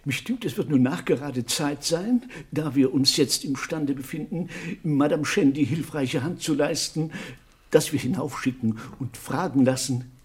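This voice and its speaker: An actor playing a pompous, wordy, sardonic country doctor in a literary radio play. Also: male voice, on the high side, measured pace, darkish tone, lightly acted. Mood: smug.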